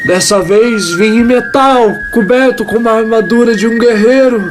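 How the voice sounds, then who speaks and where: A woman voicing a devout small creature, telling its tale in solemn, reverent, dramatic narration with deliberate pauses. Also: fairly high pitch, loud music behind the voice, lightly acted. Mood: awed. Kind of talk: dramatic first-person scripted narration.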